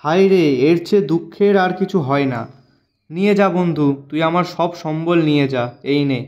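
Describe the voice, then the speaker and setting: A man voicing an honest, gentle shopkeeper who pleads with his friend in a moral-story cartoon. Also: a little high, normal speed, lo-fi sound, lightly acted. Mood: sorrowful. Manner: earnest, pleading, lamenting.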